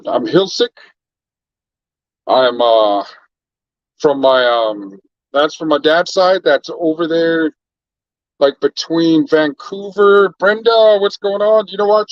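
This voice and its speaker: A man's voice: a little high, no room sound, lo-fi sound. A man from Canada hosting a casual livestream chat, laid-back and rambling as he greets viewers by name. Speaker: livestream host.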